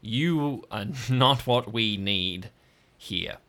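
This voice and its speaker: A man voicing a brusque, exasperated woman ranger in an improvised audio drama, with a blunt, halting, authoritative manner. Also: on the low side, expressive, pushed and bright, full character voice. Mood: stern, exasperated.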